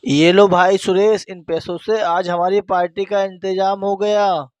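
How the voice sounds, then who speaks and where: A man playing a high-voiced greedy cartoon thief. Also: flat delivery, unhurried, a little mellow, no room sound. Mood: boastful, excited. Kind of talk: cartoon moral story dialogue.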